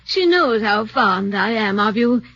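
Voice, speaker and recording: A woman voicing a fond, lonely elderly rich woman who speaks gently and slowly, in a 1940s radio drama on a muffled old broadcast. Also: a little high, lively intonation, no room sound, exaggerated voice. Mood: doting. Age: older. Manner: gentle, doting, slow.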